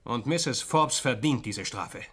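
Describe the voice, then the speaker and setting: A shrewd detective in a radio crime play, cool and deliberate, medium pitch, keeps pushing. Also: male voice, expressive, a bit bright, husky, exaggerated voice. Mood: confident, probing. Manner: deliberate, probing, coolly deductive. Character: shrewd male investigator confronting suspects, composed and probing. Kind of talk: German radio crime drama acting.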